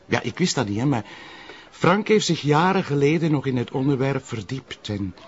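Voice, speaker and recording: An actor playing an earnest, talkative police colleague with a Flemish accent, explaining things in a TV crime drama. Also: male voice, medium pitch, expressive, moderate pace, slightly rough, lo-fi sound. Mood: earnest, explanatory. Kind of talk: naturalistic TV crime drama dialogue.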